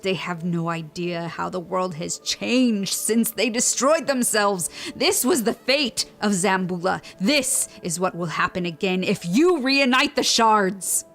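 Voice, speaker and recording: A solemn ancient woman in an audio drama, defiant and forceful. She speaks slowly and with great weight over faint music. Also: mid-range voice, animated. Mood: grave, bitter. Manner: solemn, measured, forceful warnings. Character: grave, defiant ancient woman, sole survivor of lost civilization. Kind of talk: scripted audio drama acting.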